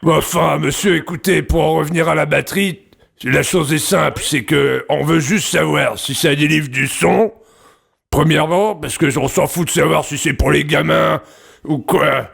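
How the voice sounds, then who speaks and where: A man's voice: fairly high pitch, fairly even intonation, moderate pace, creaky, fairly bright tone, husky, exaggerated voice. A man playing an earnest, rambling construction worker who wants to start a rock band, in a prank phone call. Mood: insistent. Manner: rambling, hesitant, pestering. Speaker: prank caller.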